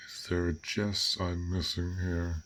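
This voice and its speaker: A man voicing a gentle, bashful dragon in an intimate fantasy audio roleplay, speaking haltingly in formal, old-fashioned words. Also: low-pitched, level tone, a little hiss or hum. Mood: flustered, hesitant. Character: fearsome but gentle, bashful, curious male dragon. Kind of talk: roleplay audio acting, intimate one-sided dialogue.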